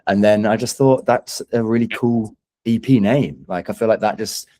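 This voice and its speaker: A young man from London speaks in a low, very mellow voice with a London English accent. He talks casually and enthusiastically, rambling through his thoughts as a musician being interviewed. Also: moderate pace.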